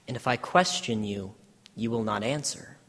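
A man's voice: fairly low pitch, normal speed, a bit bright. Solemn man acting as Jesus in echoing hall. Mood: grave. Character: solemn Jesus, grave teacher facing arrest. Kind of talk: dramatic scripture reading. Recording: echoey church hall, long reverb.

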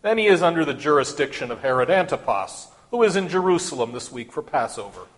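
A man playing a forceful, exasperated Roman governor in a dramatic scripture reading, declaiming in a commanding, emphatic way. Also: a little high, very animated, fast talker.

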